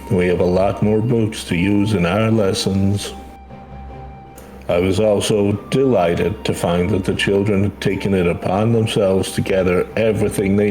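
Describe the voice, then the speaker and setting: A gentle and proud male teacher from a fantasy game, read out by a man. The voice is fairly low and speaks formally and earnestly, with music behind it. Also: close to an everyday voice, rough recording. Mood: proud, welcoming. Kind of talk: video game dialogue read aloud.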